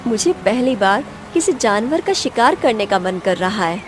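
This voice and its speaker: A woman's voice: medium pitch, sing-song, moderate pace, slight background noise, lightly acted. A woman playing a young princess, headstrong yet wistful, in a cartoon fairy tale. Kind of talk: Hindi cartoon story voice acting.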